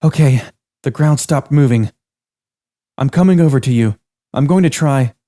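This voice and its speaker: A man voicing a terrified young waitress who speaks in a high, quivering voice on the edge of tears. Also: moderate pace, warm tone, natural voice. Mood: shaky. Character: frightened young woman waitress, fragile, sobbing.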